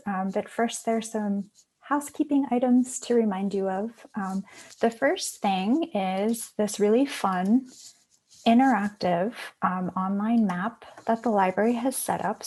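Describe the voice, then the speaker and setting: Woman from Hawaii, mid-pitched, hosting a video-call panel, friendly and filler-heavy. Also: a little mellow, low-quality recording. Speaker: international programs manager hosting a panel. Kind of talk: online event hosting.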